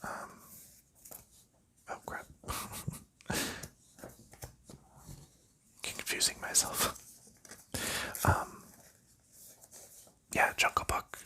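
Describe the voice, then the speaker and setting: A man talks in a steady whisper throughout, with a strikingly bright, crisp edge to the air in his voice. He rambles casually about whatever comes to mind, stopping for ums and small restarts as he handles game pieces. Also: extremely slow, long pauses. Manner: soft rambling chatter with frequent ums. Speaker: ASMR channel host. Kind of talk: whispered ASMR board game unboxing.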